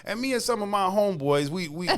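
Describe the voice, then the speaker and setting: A man's voice: high-pitched, expressive, fast, clean tone. An American man from Chicago speaking as a music artist guest in a casual interview, slangy and emphatic. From Chicago, United States.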